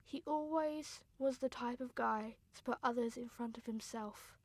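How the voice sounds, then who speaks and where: A woman plays a grieving younger sister telling her story in a radio drama. The voice is fairly high, earnest and sombre, recorded close and dry with deliberate phrasing. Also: no room sound. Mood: sombre, wondering. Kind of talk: scripted radio drama narration.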